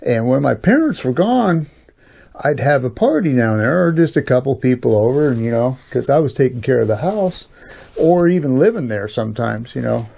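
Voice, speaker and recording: A middle-aged American man with a gravelly voice, telling personal stories in a casual, folksy, halting way as a channel host. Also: medium pitch, sing-song, dark tone. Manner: folksy, informal, halting delivery with frequent "you know". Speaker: YouTube storyteller and channel host. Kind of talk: casual rambling personal storytelling.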